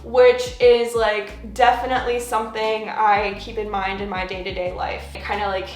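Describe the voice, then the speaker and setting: A woman's voice: medium pitch, level tone, normal speed, faint music behind. Chatty young American woman, roomy room. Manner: chatty, laughs often, many filler "like"s. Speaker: plant channel host. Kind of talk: casual Q&A chat while repotting plants. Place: at home indoors, working on plants.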